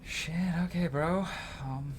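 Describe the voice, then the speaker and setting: A man's voice: a little high, slow. A man live streaming a game, reading dialogue aloud and chatting in a casual, slangy way.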